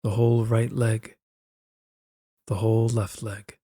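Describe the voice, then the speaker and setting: A man leads a guided relaxation at a very slow, unhurried pace. His tone stays soft and even, with little rise or fall, and has a dark, mellow color. The phrasing is gentle and soothing, like careful instructions for settling down. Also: lowish voice, slightly rough, dry recording, clean studio sound. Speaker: guided meditation narrator.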